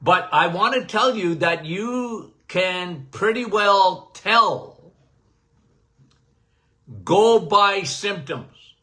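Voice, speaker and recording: An older male doctor talks in a high, bright, ringing voice. He takes his time, pausing between phrases and checking in with "okay?" as he gives a casual, emphatic health chat. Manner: animated, emphatic, repeats "okay?"; says he has a loud voice. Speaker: podcast host, doctor.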